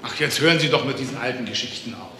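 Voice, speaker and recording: An actor playing a flustered, indignant hospital professor in a German crime radio drama. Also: male voice, medium pitch, expressive, very fast, sharp tone, reverberant, big-room sound, slight background noise.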